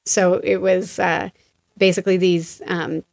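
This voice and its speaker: An American woman speaks as a guest in a relaxed interview. She talks fast and clearly. She tells stories with energy and acts out what other people said. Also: lowish voice. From United States. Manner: lively storyteller who acts out other people's lines. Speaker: guest, associate principal and former actor. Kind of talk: casual conversational interview.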